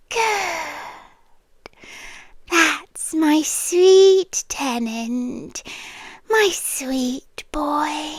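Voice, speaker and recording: A possessive British yandere landlady acted by a woman in an intimate ASMR roleplay. Her high, soft, breathy voice moves very slowly and often slips toward a whisper. She coaxes with quiet menace, very close and dry on the mic. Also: no room sound. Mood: soothing, smothering. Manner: slow, intimate, coaxing, possessive. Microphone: close, dry microphone.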